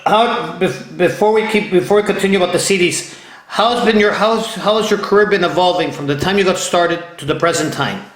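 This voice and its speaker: A man's voice: high-pitched, a little fast, fairly bright tone. A man from Ohio hosting an interview, eager and repeating himself as he restarts phrases. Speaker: interview host. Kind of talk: casual interview. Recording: echoey room.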